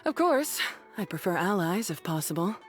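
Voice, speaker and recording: A woman's voice: lowish voice, sing-song, normal speed, faint music behind. A woman playing a blunt, self-effacing mercenary beast tamer in a video game, plain-spoken and matter-of-fact with folksy slang. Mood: frank, confident.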